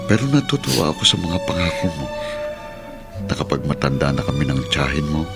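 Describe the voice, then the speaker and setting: A deep-voiced, kindly Filipino uncle. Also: male voice, sing-song, brisk, gravelly, loud music behind the voice, exaggerated voice. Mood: gentle, reassuring. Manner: gentle, sincere reassurance. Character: kindly, selfless middle-aged uncle and guardian. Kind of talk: Filipino radio drama acting.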